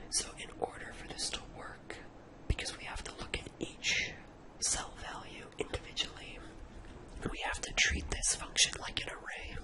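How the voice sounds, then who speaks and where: Whispered ASMR spreadsheet tutor of indistinct sex. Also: low-quality recording. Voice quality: breathy, unvoiced whisper. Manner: informal, thinking aloud step by step. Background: computer keyboard typing.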